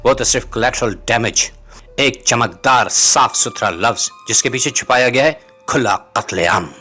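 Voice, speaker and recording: A man's voice: mid-range voice, bright, forceful tone. A man reading scripted political commentary as a host, in a rhetorical, bitingly sarcastic manner. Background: music.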